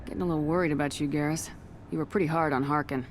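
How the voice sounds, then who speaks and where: A woman playing a composed military commander in a video game, speaking in a firm, measured, direct way. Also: sits low, steady tone, slight background noise, lightly acted. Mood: concerned. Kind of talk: video game dramatic dialogue.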